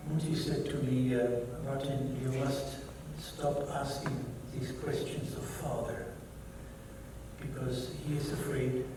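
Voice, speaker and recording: An older man with a German accent, a native German speaker, gives a keynote talk and tells personal stories in a reflective way, quoting others and correcting himself as he goes. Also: mid-range voice. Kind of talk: personal testimony lecture. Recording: echoey hall, mic at a distance, background noise.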